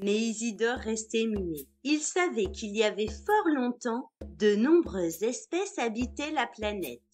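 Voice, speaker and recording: A woman's voice: fairly high pitch, expressive, unhurried, darkish tone. A woman narrating a scripted children's story as a storyteller. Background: music.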